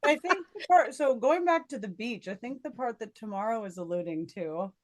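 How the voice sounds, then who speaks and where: A woman's voice: on the high side, animated, moderate pace, a crowd in the background. A woman with an American accent in a casual chat with friends as a podcast host. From Minnesota, United States.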